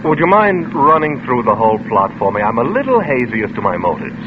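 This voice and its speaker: An actor playing a glib, flippant charmer under suspicion in a vintage radio drama, with breezy sarcastic banter. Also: male voice, mid-range voice, very animated, fairly quick, lightly acted. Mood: breezy denial. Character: glib, charming, flippant male suspect, smoothly teasing. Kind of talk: 1940s-50s radio drama acting. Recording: noisy, tinny old radio recording.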